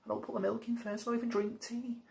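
A man's voice: flat delivery, fast, gravelly. A young man playing a naive, too-nice lad in a comedy sketch, earnest and silly. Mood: earnest, whiny. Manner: high-pitched, earnest, silly. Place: small living room.

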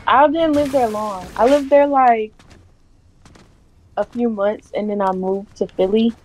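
A young woman from South Carolina chatting over an online voice call while gaming, relaxed and a little guarded. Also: a little high, measured pace, rough recording. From South Carolina, USA. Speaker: guest gamer. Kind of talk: casual chat over voice call.

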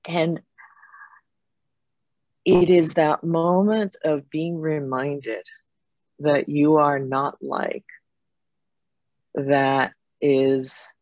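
A middle-aged woman from Japan giving reflective, halting, conversational answers as an interview guest on a video call. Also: low voice, fairly even intonation, darkish tone, dry recording. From Japan, with years in Germany and Italy. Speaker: interview guest, foundation president. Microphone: computer mic on a video call. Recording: dull video-call audio.

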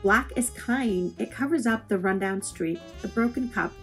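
Woman, mid-pitched voice, children's poetry read-aloud, expressive, deliberate phrasing, soft guitar music behind. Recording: music playing under the voice. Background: plucked guitar music.

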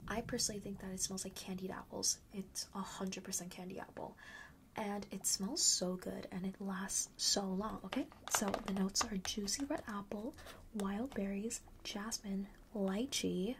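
A woman's voice: mid-range voice, fairly even intonation, normal speed, noisy background. A woman whispering breathily in a chatty, playful ASMR roleplay as a boastful fragrance seller, often repeating phrases for emphasis. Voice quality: breathy whisper, mostly unvoiced. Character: friendly, boastful enchanted-forest perfume seller.

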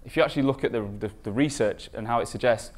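A man's voice: medium pitch, fast. Male conference speaker, mid-range voice, informal and emphatic presenting style with rhetorical questions. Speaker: conference speaker, recruitment marketing expert.

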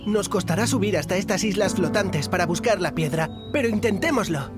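A woman's voice: medium pitch, expressive, a little fast, natural voice. A woman voicing an eager, brave young snowman boy in a video game, with a Castilian Spanish accent. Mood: eager, determined. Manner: exclamatory, eager. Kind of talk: video game cutscene acting. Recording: behind loud game music.

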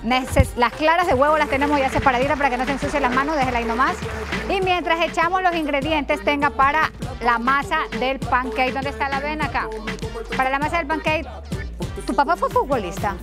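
Chatty high-voiced Ecuadorian TV host. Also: female voice, brisk. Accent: Ecuadorian Spanish. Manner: chatty and teasing, exclaims. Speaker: fitness cooking host. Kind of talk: lively TV cooking chat. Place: TV studio kitchen set. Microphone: lapel. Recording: TV studio with music bed.

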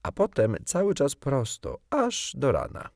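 A man's voice: mid-range voice, wildly swooping pitch, measured pace, vocal fry, darkish tone, dry recording, studio quality, voice pushed up, heavily acted. A man voicing a proud, prickly young boy in a storybook, polite but curt and indignant.

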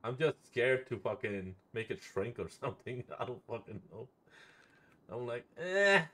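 A man's voice: mid-range voice, very animated, moderate pace, sharp tone. A man chatting casually while streaming a game, swearing freely and repeating phrases playfully. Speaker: live streamer playing a roleplay game.

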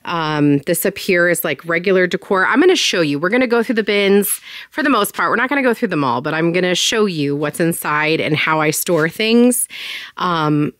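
A woman's voice: lowish voice, animated, normal speed, a bit bright, dry recording, studio quality. A woman in her thirties chatting casually through a tour of her basement storage, with lots of ums and likes and bursts of enthusiasm. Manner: chatty, filler-heavy, enthusiastic. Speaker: home organizing vlogger. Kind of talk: casual walkthrough chat. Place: home basement storage room.

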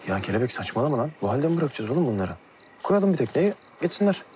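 A man playing a fast-talking young man. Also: medium pitch, sing-song, a little mellow, slight background noise, close to an everyday voice. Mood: urging. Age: young adult. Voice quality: fast, slangy speech. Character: earnest young man, restless, protective friend. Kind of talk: TV series acting. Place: outdoors at night, town square. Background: score music.

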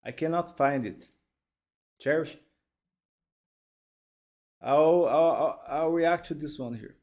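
A man from Brazil, a native Portuguese speaker with a Brazilian accent, hosting a music reaction in a halting, word-repeating way on a headset mic at home. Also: fairly high pitch, a little mellow. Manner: halting, repeats words, exclaims in delight. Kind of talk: casual live reaction commentary. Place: home studio, indoors, gaming chair.

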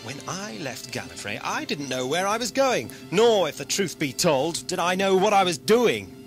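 A man's voice: on the high side, sing-song, moderate pace, soft background music. A man playing a philosophical, defiant alien traveler in an audio drama, declaiming in a literary, sardonic way. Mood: heated. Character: wandering alien Doctor, poetic, philosophical, defiant, male. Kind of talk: theatrical audio drama acting.

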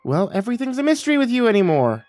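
A man's voice: a little high, very animated, a little fast, a little mellow, no room sound, soft background music, pitched above the performer's own voice. A man voicing an older mother who is passive-aggressive, pouty and pointed, in a dramatized story. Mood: accusing. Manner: accusatory, guilt-tripping, pointed.

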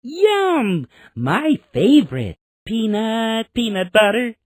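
Preschool game host: a playful, childlike cartoon face, drawn-out singsong pacing, slightly husky, dry recording. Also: female voice, a little high, dry recording, exaggerated voice. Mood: delighted. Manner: expressive, singsong, simple encouraging delivery. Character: cheerful childlike talking cartoon face hosting a counting game.

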